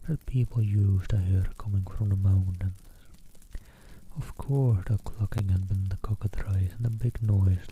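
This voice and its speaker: A man streaming an ASMR reading, soft, whispery and unhurried, greeting viewers between passages. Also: deep voice. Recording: tinny phone-like line, faint hiss.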